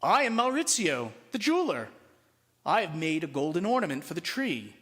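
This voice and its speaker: A man voicing proud townsmen who loudly declaim in a storybook reading. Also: on the high side, big pitch swings, normal speed. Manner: loud, declamatory. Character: proud townsmen loudly announcing their gifts. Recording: long reverberant hall.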